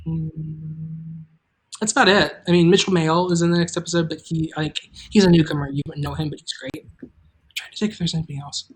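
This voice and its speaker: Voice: mid-range voice, steady tone, fairly quick, low-quality recording. An informal, chatty host speaking in a midrange voice on a casual livestream. The delivery rambles loosely, like talk among friends who make an audio drama together.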